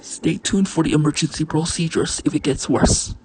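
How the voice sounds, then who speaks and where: Husky mock emergency alert announcer. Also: medium pitch, normal speed, darkish tone.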